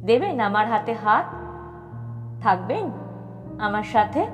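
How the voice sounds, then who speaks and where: A woman's voice: mid-range voice, big pitch swings, slow, dull audio. A woman voicing an elderly, earnest, tender man who pleads in an audio story. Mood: earnest, pleading. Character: elderly lonely widower, earnest, tender, fed up with society. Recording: long reverb with music behind.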